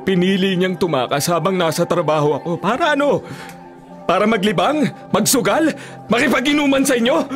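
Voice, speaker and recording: A grieving father in a Filipino radio drama, bitterly accusing his wife. His voice is pitched extremely high with anguish and rage. Dramatic music plays clearly behind him.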